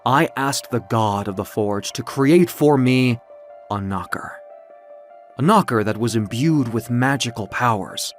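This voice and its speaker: A man's voice: mid-range voice, soft background music, close to an everyday voice. Man playing a proud mythic Greek immortal. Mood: grand, solemn. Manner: grandiose, solemn, measured storytelling. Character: proud mythic Greek male being recounting his quests.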